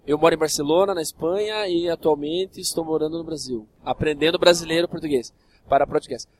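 A man's voice: fairly high pitch, darkish tone, a little hiss or hum. A man with a Brazilian accent chatting casually and jokingly as a comedy podcast panelist. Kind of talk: casual joking group chat.

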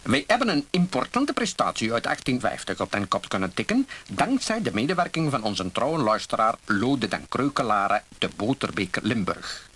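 An actor playing a pompous, florid radio presenter of an opera programme in a comedy parody. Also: male voice, mid-range voice, fairly bright tone, slight background noise.

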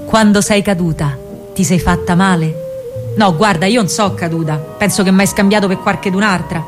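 An actress playing a spirited young partisan woman with a Roman accent, telling her story in an expressive, colloquial radio monologue. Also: medium pitch, normal speed, music in the background, close to an everyday voice. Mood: enamored, wistful. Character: young female partisan courier, lovestruck and spirited. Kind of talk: theatrical radio monologue, dramatic first-person storytelling.

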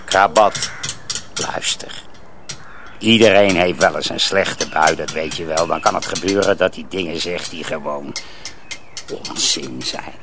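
An actor playing a domineering, volatile master of apprentices in a radio drama, barking or smoothly coaxing. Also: male voice, sits low, a little slow. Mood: coaxing, conciliatory. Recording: radio play, faint background noise.

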